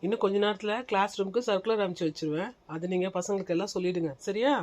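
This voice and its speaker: A woman's voice: medium pitch, rapid-fire, darkish tone, close to an everyday voice. Woman playing a senior schoolteacher, middle register, strict, caring, instructive, classroom skit delivery. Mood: businesslike. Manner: instructing, repeating reminders, checking for agreement. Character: senior female schoolteacher, fussy, strict but caring with small children.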